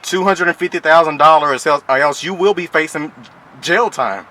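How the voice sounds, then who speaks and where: Man posing as a pushy, bright-voiced child support official. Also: a little high, moderate pace. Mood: stern, pressing. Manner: pushy, mock-official, repeatedly polite "ma'am". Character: stern, threatening male child support official. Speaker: prank caller. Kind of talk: improvised prank phone call. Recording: phone call with faint noise.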